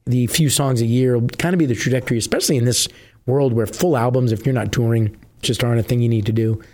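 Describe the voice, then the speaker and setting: Husky middle-aged dude just chatting, lots of uhs, goes on and on. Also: male voice, medium pitch, steady tone, fast talker, darkish tone. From New Jersey. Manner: chatty, rambling, many "uh" fillers. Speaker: musician guest. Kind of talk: casual interview chat.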